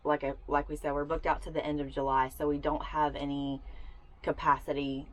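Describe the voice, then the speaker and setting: A woman's voice: low-pitched, level tone, a little fast, poor audio. A woman co-hosting a camper vlog, chatting casually to camera from inside a small camper, with lots of fillers and restarts. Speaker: co-host of a camper solar install channel.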